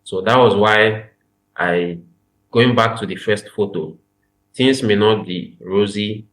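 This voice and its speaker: A man's voice: on the low side, slow, a bit bright, poor audio. A young man from Nigeria with a Nigerian accent, earnestly telling his story as a podcast guest, often repeating his phrases. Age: young adult. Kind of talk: casual interview chat.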